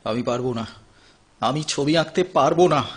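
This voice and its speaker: An actor playing a tormented, desperate addict husband in an audio drama, in an anguished, halting, melodramatic manner. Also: male voice, mid-range voice, close to an everyday voice. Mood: agitated, reluctant. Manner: anguished, halting, repeats phrases emphatically.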